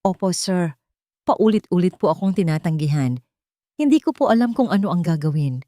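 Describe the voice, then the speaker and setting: A woman's voice: fairly low pitch, expressive, moderate pace, warm tone, natural voice. A woman plays a humble, dejected maid and mother in an audio drama. She speaks politely and deferentially. The recording is dry, without room echo.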